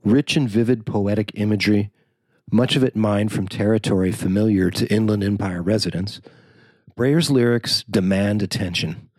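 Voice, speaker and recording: Low-voiced man reading a scripted profile. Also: normal speed, a little mellow, dry recording. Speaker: journalist narrator. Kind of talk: scripted narration of a written profile.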